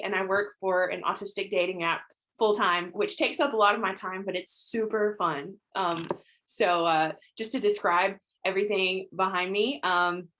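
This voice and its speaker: A woman chats through her introduction on a video call, filling pauses with ums. Her pitch sits in the middle of the range. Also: a bit bright. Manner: chatty, frequent ums, light laughs. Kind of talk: round table introduction.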